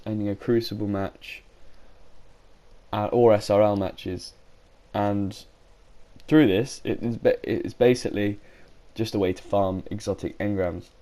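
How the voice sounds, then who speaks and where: A man's voice: on the low side, mellow. Man with a sore throat. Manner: rambling, frequent self-corrections. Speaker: gaming YouTuber. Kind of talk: casual commentary video.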